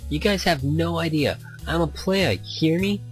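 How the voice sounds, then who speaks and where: A man's voice: on the high side, normal speed, faint music behind, voice pushed up, lightly acted. A man voicing an indignant, whiny young male gamer with exaggerated protests.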